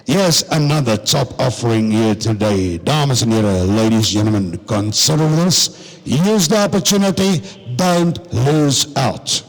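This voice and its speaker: A South African man working as a livestock auctioneer, describing cattle lots and then rattling off bids in a rapid chant. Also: mid-range voice, animated, long reverb tail, rough recording. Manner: rattles off bid numbers in a fast chant, switching between English and Afrikaans.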